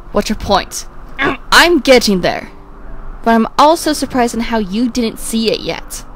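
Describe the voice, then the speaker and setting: A woman voicing a frank, world-weary male character in an amateur comic dub, blunt and halting as she explains. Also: on the high side, expressive, a bit bright, slight background noise, low-quality recording.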